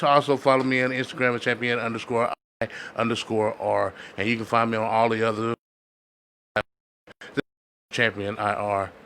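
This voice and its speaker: A man's voice: mid-range voice, bright, forceful tone. A man speaking casually as a panelist on a podcast, in loose slangy banter. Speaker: podcast panelist and rap artist.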